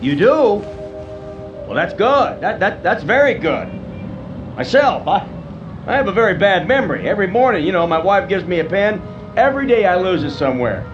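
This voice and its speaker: A well-meaning, earnest grocer in a radio drama, with a fairly high man's voice. He chatters hesitantly and stumbles over his words. The sound is slightly dull, with music clearly behind him. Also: very animated, normal speed. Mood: pleading. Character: friendly, bumbling male neighborhood grocer, concerned and earnest.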